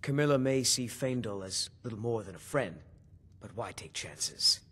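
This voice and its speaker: An actor playing a smug, jealous young village suitor in a fantasy video game, boastful and dismissive. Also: male voice, medium pitch, lively intonation, normal speed, fairly bright tone, natural voice. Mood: scheming. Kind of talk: video game dialogue acting.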